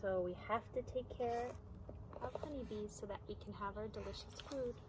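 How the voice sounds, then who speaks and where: A woman's voice: medium pitch, a little fast, very noisy. A woman teacher reading a picture book aloud to young children, speaking simply and putting prompting questions.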